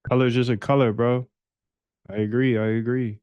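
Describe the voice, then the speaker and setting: A man's voice: medium pitch, level tone, normal speed, a little mellow, smooth, dry recording. A man hosting a live coding stream, chatting loosely with viewers, joking and swearing as he works. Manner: loose, profane, joking banter with chat. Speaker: livestream host coding a trading bot. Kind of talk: casual live-stream chat.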